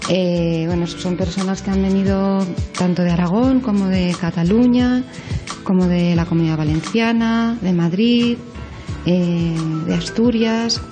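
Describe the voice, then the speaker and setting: A woman's voice: fairly low pitch, measured pace, music in the background. A woman answering explanatorily in an interview as a course coordinator.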